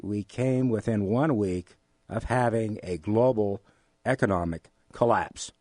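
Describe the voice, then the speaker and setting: American man, lowish voice, dry close sound, professorial, emphatic, explanatory interview guest. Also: darkish tone, no room sound. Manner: deliberate, professorial, emphatic repetition. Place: radio studio.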